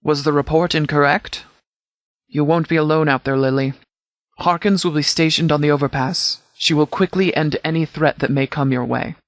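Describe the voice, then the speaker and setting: A man playing a no-nonsense sheriff's department commander in a video game, speaking formally and precisely in measured, scripted briefing style. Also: fairly high pitch, steady tone, no room sound, natural voice. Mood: composed, authoritative.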